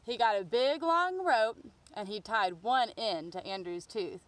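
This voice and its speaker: A woman's voice: medium pitch, expressive, unhurried, no room sound. A woman reading a picture book aloud expressively for young children. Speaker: children's storybook reader.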